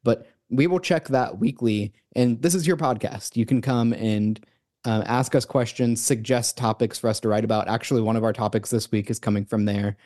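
A man's voice: medium pitch, fairly even intonation, moderate pace, a little mellow. Male podcast co-host, casual and rambling, frequent ums and restarts, easygoing chat delivery. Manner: loose, rambling, many fillers and restarts. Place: remote recording, separate from co-host.

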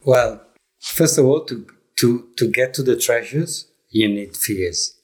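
A middle-aged man from Italy with an Italian accent, speaking English as a second language and answering interview questions in a reflective, halting way. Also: mid-range voice, a little mellow, breathy edge. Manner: reflective, halting, with many fillers and restarts. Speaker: guest, leadership coach.

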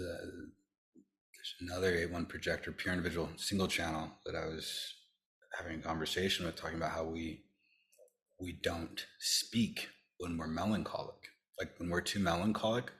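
Male podcast host, low register, conversational, halting delivery that often restates phrases. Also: little pitch movement, fairly bright tone. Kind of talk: casual conversational discussion.

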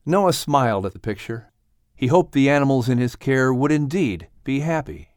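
A man reading scripted storybook narration in an expressive, earnest storytelling manner, as an audiobook narrator. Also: medium pitch, moderate pace, no echo, studio quality.

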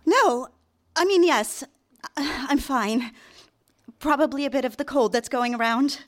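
A woman's voice: high-pitched, measured pace. A woman playing a nervous, smitten young office typist in a live radio drama, earnest and easily flustered. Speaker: actor. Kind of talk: live radio drama acting with confessional narration.

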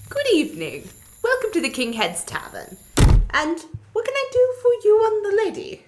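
A woman's voice: very high-pitched, huge pitch swings, moderate pace, background noise, full character voice. A woman playing a nosy, genial older innkeeper with a theatrical, welcoming manner in a student radio play.

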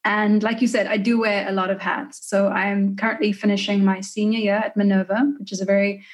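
A woman's voice: medium pitch, little pitch movement, fairly quick. Young South African woman, podcast guest. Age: early 20s. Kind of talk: casual interview conversation.

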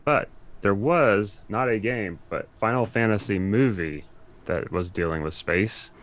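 A man speaking casually as a guest on a podcast, in relaxed back-and-forth conversation. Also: on the low side, unhurried. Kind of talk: casual chat.

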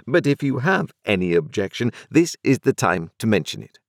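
A man reads an overbearing storybook lawyer who speaks in clipped, formal phrases and presses every question like a cross-examination. His voice sits higher and louder than the deep narration around it, and it swings widely in pitch. The sound is close and dry. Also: very animated, moderate pace, vocal fry, dry recording. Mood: stern, formal. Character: brusque, overbearing middle-aged male lawyer. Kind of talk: audiobook character voice.

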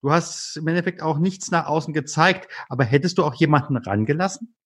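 A man interviewing his guest, asking gentle, probing questions. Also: fairly high pitch, fairly quick, slightly rough.

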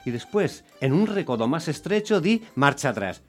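A man playing an exasperated, unlucky taxi driver, telling his story in a wry, expressive way as radio drama. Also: fairly high pitch, moderate pace, faint music behind. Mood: weary, narrating. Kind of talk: dramatised first-person radio narration.

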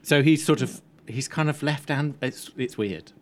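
A man's voice: mid-range voice, moderate pace. A man chatting informally as a panellist at a film screening, enthusiastic and inclined to digress. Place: live event at a film screening.

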